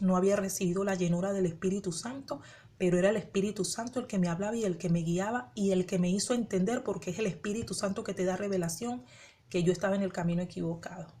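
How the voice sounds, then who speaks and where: A woman's voice: mid-range voice, warm tone. A woman pastor from the Dominican Republic, raised in Venezuela, telling her personal testimony and acting out her own inner dialogue. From born in the Dominican Republic, raised in Venezuela. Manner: rhetorical questions to the listener.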